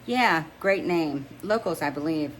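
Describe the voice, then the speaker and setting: A woman's voice: sits low, animated, measured pace. A woman voicing a composed, friendly professional heroine in a romance audiobook, casual and polite. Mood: businesslike. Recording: noticeable background noise.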